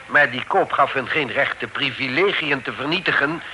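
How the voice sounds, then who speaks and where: A well-mannered gentleman in a radio drama. His middle-pitched voice is measured and polite, with dry irony. Clear noise runs through the old recording. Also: poor audio. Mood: wryly polite. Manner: polite, measured, dryly ironic. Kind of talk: radio play acting.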